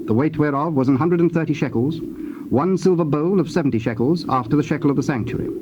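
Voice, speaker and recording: A man narrating scripture from a script in a measured, formal, solemn manner. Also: fairly high pitch. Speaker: narrator of dramatized Bible. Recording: dull, noisy old cassette tape.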